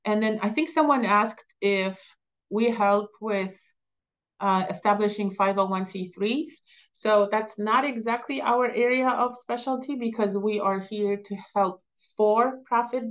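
A middle-aged woman with a Polish accent answering audience questions as a business advisor in a webinar, speaking haltingly with many fillers and restarts. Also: mid-range voice, muffled recording. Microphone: video call.

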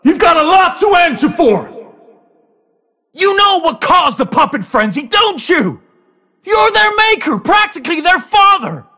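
A menacing masked hunter from a dark video game, bent on revenge. His voice is raspy and pitched high, swinging wildly as he threatens and mocks. The words come slowly and theatrically. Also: very animated, exaggerated voice. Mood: furious. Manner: shouting, taunting, threatening. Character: menacing, vengeful masked male hunter, raging and mocking. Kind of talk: dramatic video game voice acting.

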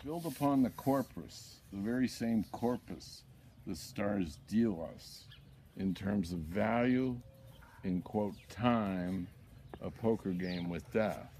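An older man reading his own poetry aloud in a deliberate, declamatory way. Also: on the low side, animated, dark tone. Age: older, 60s or 70s. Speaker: poet reading own work. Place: outdoors in a backyard. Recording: outdoor ambience with birds, background noise.

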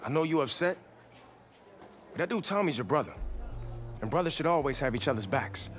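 An actor playing a blunt, teasing friend in casual video game cutscene banter. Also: male voice, a little high, lively intonation, brisk, soft background music, close to an everyday voice. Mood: firm, encouraging.

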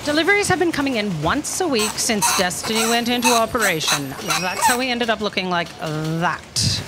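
A woman playing a brisk, imperious, impatient boss, haughty and wordy, in a staged comic audio drama. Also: a little high, expressive, loud music behind the voice, close to an everyday voice. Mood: brisk, irritated. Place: theatre stage. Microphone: microphone on a stand. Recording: very noisy live stage recording.

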